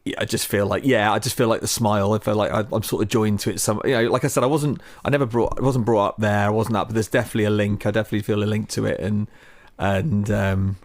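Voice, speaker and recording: Shropshire guy w a bit of cockney twang, lowish voice, dry sound, rambles and restarts. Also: flat delivery, fast, no echo. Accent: Shropshire with a slight Cockney twang. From West Midlands, England. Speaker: podcast guest, DJ and producer. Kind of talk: casual chat.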